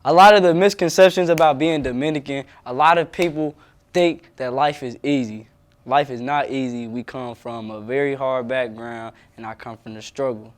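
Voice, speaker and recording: A young man with a smooth, resonant voice answers interview questions, earnest and emphatic in his delivery. He speaks at a middle pitch, plainly and directly. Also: normal speed.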